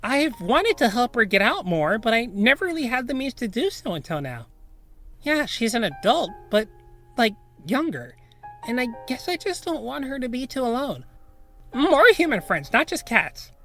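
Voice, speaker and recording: A man's voice: very high-pitched, very animated, normal speed, a bit bright, faint music behind, pitched above the performer's own voice, full character voice. A man voicing an earnest young male talking cat who chats casually and hesitantly in an audio story. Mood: concerned.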